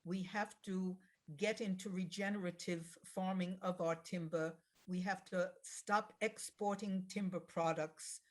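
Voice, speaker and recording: A woman's voice: fairly low pitch, steady tone, a little slow. A woman speaking as an election candidate, answering forum questions in an emphatic, blunt way. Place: online video call.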